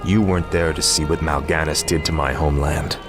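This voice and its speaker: An actor playing a cold, vengeful young prince in a fantasy video game cinematic. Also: male voice, rumbling low, steady tone, a little fast, music in the background. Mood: scornful, bitter. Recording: loud game soundtrack behind the voice.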